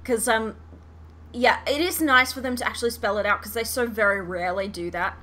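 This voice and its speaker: A woman's voice: a little high, brisk, pushed and bright, slight background noise. A woman hosting a podcast, chatting casually and expressively about a show, with some swearing. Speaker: podcast host. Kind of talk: casual fan chat reviewing a show.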